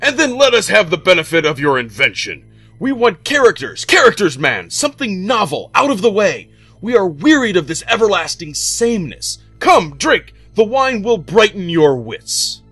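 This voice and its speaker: A booming, bullying king who barks his orders, as a male narrator puts him on. His voice jumps high and swings wildly with every command. He is a cruel joker with little patience. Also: very animated, normal speed, husky, dry recording, soft background music, full character voice. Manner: blustering, bullying, loud commands.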